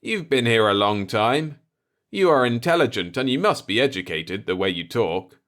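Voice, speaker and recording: A man's voice: mid-range voice, animated, normal speed, a bit bright, lightly acted. A man voicing an eager, brash human explorer, emphatic and argumentative, in a science fiction story. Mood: curious, probing.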